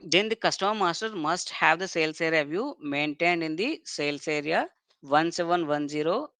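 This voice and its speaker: Smooth-voiced trainer giving a technical lecture. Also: medium pitch, animated, moderate pace, fairly bright tone, no room sound. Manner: explanatory, punctuates points with checking tags. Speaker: software trainer.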